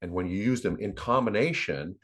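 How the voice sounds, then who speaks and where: A man giving an informal, instructional device demonstration, halting and often repeating words as he explains things to viewers. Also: lowish voice, fairly bright tone. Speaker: presenter.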